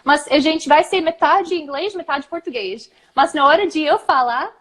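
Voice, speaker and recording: A young woman from the southeastern United States chats on a live video call, her voice pitched high. She is talkative and laughs easily, switching between English and Portuguese as she teaches. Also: a little fast, fairly bright tone. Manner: chatty, laughs often, mixes English and Portuguese. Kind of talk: casual live-stream chat. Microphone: webcam or phone on a video call.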